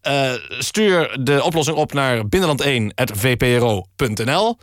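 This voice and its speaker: A man hosting a satirical radio magazine in a mock-serious, deadpan and halting way. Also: medium pitch, no room sound, clean studio sound. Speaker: radio show host. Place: radio studio. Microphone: close studio microphone.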